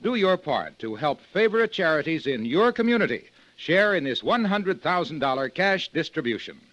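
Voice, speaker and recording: A man's voice: on the high side, sing-song, moderate pace, fairly bright tone, no room sound, muffled recording. An American man working as a commercial announcer, reading in 1950s radio advertisement style.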